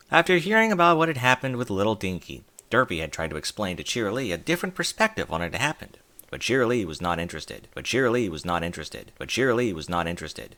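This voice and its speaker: A man reading a fan fiction story aloud as narrator, telling it expressively with wide swings in pitch. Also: a bit bright.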